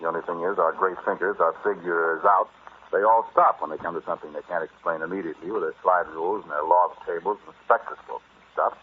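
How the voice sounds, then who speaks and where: Guy acting a cocky sardonic american archaeologist in a 1940s radio play, real low voice, muffled noisy old recording. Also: steady tone, fast, natural voice. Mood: scornful, insistent. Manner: conversational, sardonic, dismissive, addressing the listener directly. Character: brash, wealthy amateur archaeologist, sardonic adult man. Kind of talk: 1940s radio drama monologue.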